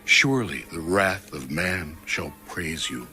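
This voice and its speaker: A man reading scripture aloud in a solemn, dramatic, measured audiobook style. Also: sits low, sharp tone, soft background music. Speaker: scripture reader.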